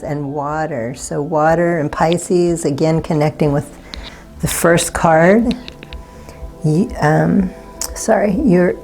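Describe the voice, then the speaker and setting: A woman with a low, warm, slightly raspy voice gives a tarot reading. She talks in a soft, reassuring way, pausing now and then to find the right word. Faint music plays underneath. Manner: soft, unhurried and gently reassuring, pausing to search for words. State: slightly raspy from not talking all day. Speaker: tarot card reader. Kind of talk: pick-a-card tarot reading.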